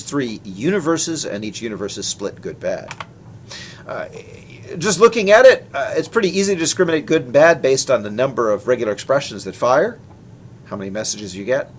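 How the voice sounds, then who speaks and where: A man's voice: mid-range voice, slight background noise. A man presenting research in a technical lecture, explaining in an informal, conversational way. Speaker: researcher presenting his work.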